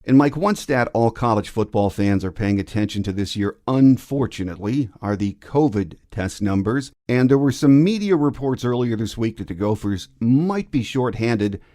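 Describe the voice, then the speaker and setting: A man's voice: medium pitch, expressive, moderate pace, hardly any pauses, mellow. A man hosting a sports podcast, presenting his views and introducing an interview. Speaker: podcast host.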